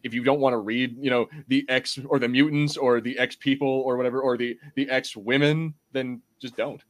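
A man's voice: mid-range voice, steady tone, normal speed. A man chatting as a podcast panelist, conceding points in a conciliatory way as he debates casually.

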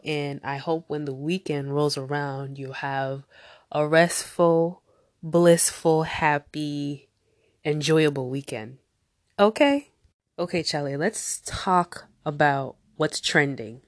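A woman's voice: deep voice, slow, no room sound, studio quality. A woman hosting a casual solo podcast, sounding nasal from a cold and talking in a chatty, rambling way with tangents. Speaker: podcast host.

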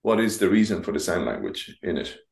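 A male podcast host whose first language is not English, speaking in a measured, reflective way with gentle questions. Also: deep voice, big pitch swings, creaky. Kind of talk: interview conversation. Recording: roomy echo.

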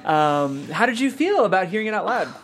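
A woman's voice: mid-range voice, very animated, fairly quick, faint music behind. A woman with an American accent hosting a casual interview about scripts and performance. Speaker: host of a script table-read show.

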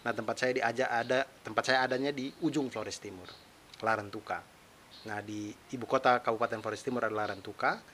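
A chatty, self-deprecating young man with a mixed eastern Indonesian accent talks alone into his podcast mic. His voice sits at an ordinary pitch, and a faint hiss runs underneath. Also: fairly even intonation, brisk, fairly bright tone. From East Nusa Tenggara, Indonesia. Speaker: podcast host, stand-up comedian. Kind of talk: casual solo podcast monologue.

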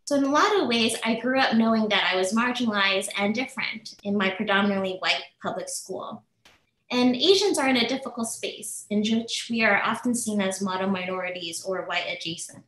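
A woman's voice: mid-range voice, brisk, fairly bright tone, reverberant, poor audio. Earnest woman speaking on a webinar. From United States. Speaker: assistant professor speaking as webinar panelist. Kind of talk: prepared remarks on a webinar. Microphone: video call.

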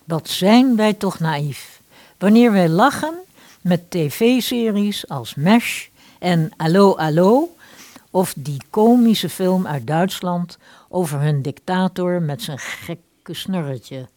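A woman presents a radio drama with scripted narration. Her voice is slightly low and unhurried, delivered with dramatic deliberation in a dry recording. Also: lively intonation, darkish tone, no room sound. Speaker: host of a radio play series.

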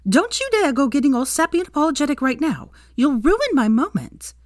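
A brisk, gleeful grown woman teacher, talking high and excitable with big swoops in pitch, as a woman reader puts her on. The sound is close and dry, with no room around it. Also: no room sound. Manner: high, animated and emphatic.

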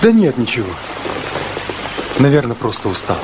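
A man's voice: medium pitch, sing-song, normal speed, lightly acted. An earnest young student, played by a man, speaks in short, uneasy phrases. He holds his feelings back in the manner of 1960s radio acting. The old recording carries faint noise. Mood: guarded, uneasy. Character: earnest, troubled nineteen-year-old student recruited as a security officer.

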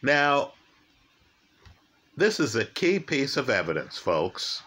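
Slow, emphatic male crime commentator. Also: mid-range voice, big pitch swings, sharp tone. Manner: slow, emphatic, repeats key facts and asks "right?". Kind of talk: true crime case commentary.

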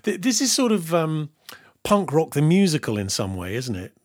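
A man's voice: fairly high pitch, very animated, normal speed, no room sound, clean studio sound. A man hosting a music podcast, chatting casually about albums and laughing easily. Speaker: podcast host.

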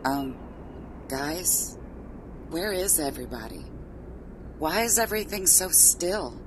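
A woman's voice: sits low, expressive, takes it slowly, background noise. A woman playing an uneasy young college student in an audio horror drama, with music behind her. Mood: nervous, puzzled.

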